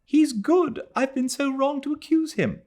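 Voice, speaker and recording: A man voicing a distraught, remorseful young Englishwoman, high and pleading. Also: unhurried, darkish tone. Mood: anguished pleading.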